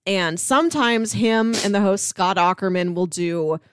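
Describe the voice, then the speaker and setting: Woman, medium pitch, casual podcast co-host, animated storytelling, laughter in speech, dry close recording. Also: a little slow, clean tone, no echo.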